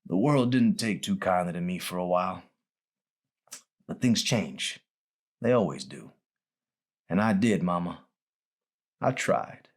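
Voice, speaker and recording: A man voicing an old, dying male hospital patient in an audiobook, with a raspy voice and folksy, wistful manner. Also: low-pitched, animated, measured pace, pauses often. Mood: sorrowful. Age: older. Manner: colloquial, folksy, wistful preaching.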